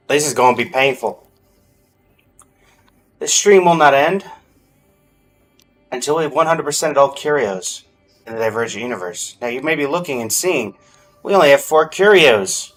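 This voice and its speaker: Guy streaming a game, chatty, talking to his viewers, medium pitch, some music in the back. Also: unhurried, pauses often, fairly bright tone. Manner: chatty, addresses viewers directly. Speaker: live streamer. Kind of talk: casual gaming livestream commentary.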